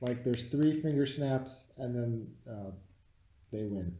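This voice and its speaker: A man's voice: mid-range voice, slow, warm tone, a little hiss or hum. A man chatting casually and bluntly about a film, swearing freely, with a few beers in him. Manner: blunt, dismissive, teasing. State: has been drinking beer. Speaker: podcast co-host reviewing a film. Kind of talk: casual, profane chat. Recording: roomy, echoey home recording.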